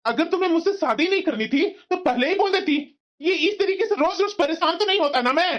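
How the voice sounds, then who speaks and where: A woman's voice: extremely high pitch, lively intonation, a little fast. A woman voicing an exasperated, aggrieved young husband in a family cartoon, scolding, in a dull lo-fi recording. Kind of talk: cartoon voice-over in family comedy drama.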